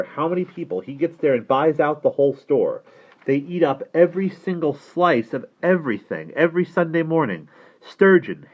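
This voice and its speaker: A man's voice: a little high, narrow, tinny audio, pitched above the performer's own voice. A man voicing an indignant, bitter young man who rants emphatically and sardonically about his boss.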